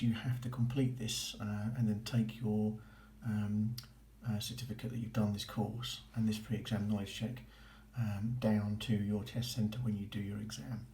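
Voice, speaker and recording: A man's voice: lowish voice, creaky, dark tone. A man giving a casual, informal, approving walkthrough as a vlogger. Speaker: vlogger documenting a boating course. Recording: roomy echo with faint noise.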